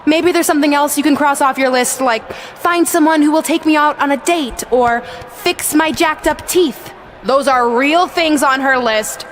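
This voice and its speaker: A woman's voice: sits high, normal speed, slight background noise, close to an everyday voice. A woman playing a vain, scheming wrestling villain in a video game, giving a smug, mocking, theatrical promo.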